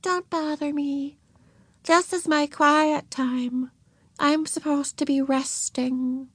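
A woman's voice: high-pitched, steady tone, slow pace, a bit bright, no room sound, voice pushed up. A woman voicing a stubborn, cheeky little nursery-school girl in a children's audiobook, childlike and self-assured. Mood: primly stubborn.

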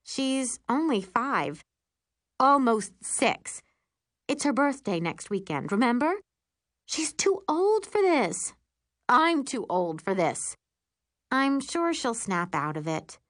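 A woman narrator plays a self-absorbed stepmother in her thirties from an audiobook. The voice rides high and swoops dramatically, drawing out words as she complains and overshares. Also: very animated, slow pace, fairly bright tone, no echo, studio quality, full character voice. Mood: exasperated, complaining.

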